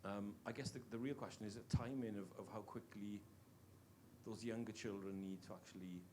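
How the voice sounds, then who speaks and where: A Welsh man with a somewhat low voice speaks from the audience in an earnest, halting way, restarting his phrases often. He is a longtime teacher raising a point at a panel talk, and a faint hum sits under the recording. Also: steady tone, darkish tone. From Wales. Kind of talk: question from the floor at a panel talk.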